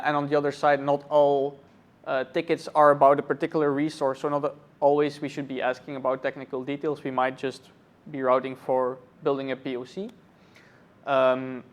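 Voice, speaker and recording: A man from Belgium, a cloud architect, answering interview questions thoughtfully with many pauses and fillers. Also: on the high side. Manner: thoughtful, many ums and uhs. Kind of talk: conference interview.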